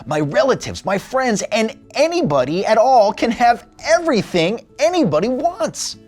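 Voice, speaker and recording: A man's voice: very high voice, very animated, moderate pace, soft background music, voice pushed up, exaggerated voice. A man voicing an eager, innocent young boy donkey in a storybook, earnest and exclamatory. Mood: excited. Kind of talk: storybook character voice.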